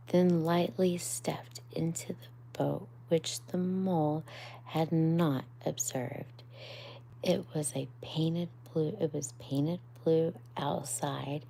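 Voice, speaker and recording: A woman reading a bedtime story aloud in a soft, hushed voice that drops almost to a whisper, speaking up for the characters' lines. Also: sits low, creaky, slight background noise, rough recording. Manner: soft, hushed narration, often near a whisper. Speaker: storybook reader on a live stream.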